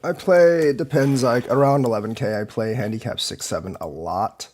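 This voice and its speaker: A man's voice: mid-range voice, normal speed, darkish tone, a little hiss or hum. Man with a slight cold, rambling. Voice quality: slightly off, congested voice. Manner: rambling, filler-heavy, critiquing moves. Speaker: go teacher streamer. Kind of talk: live game commentary.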